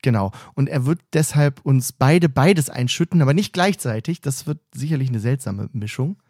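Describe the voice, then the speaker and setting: A man's voice: medium pitch, moderate pace, a little mellow, dry recording, studio quality. A man co-hosting a casual podcast from a training camp, teasing and joking with his co-host.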